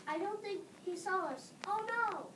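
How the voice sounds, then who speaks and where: Young woman playing a worried bossy schoolgirl in a play reading, super high voice, echoey noisy room. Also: unhurried. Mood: alarmed. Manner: exclamatory, fretful. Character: anxious, scolding schoolgirl fleeing through a pyramid. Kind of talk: readers theater. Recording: distant echoey room, clear background noise.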